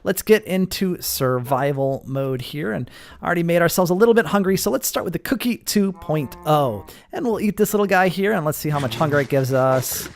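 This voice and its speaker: A man's voice: on the high side, lively intonation, a little fast, a little hiss or hum. A man giving casual gameplay commentary as a host, explaining things step by step and talking directly to his viewers. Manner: explanatory, addressing viewers as guys. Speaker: host of a Minecraft mod showcase.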